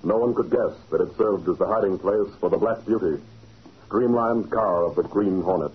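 A man's voice: low-pitched, moderate pace, highs cut off, noisy background, close to an everyday voice. A man as the solemn announcer-narrator of a crime serial, in dramatic 1940s radio style. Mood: dramatic, suspenseful. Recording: thin, band-limited old radio recording.

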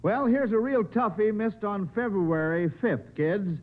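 A man's voice: high voice, animated, unhurried, phone-quality sound, a little hiss or hum. A man hosting a 1950s radio children's quiz in a folksy, encouraging, informal way, on an old radio recording. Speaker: quiz show host.

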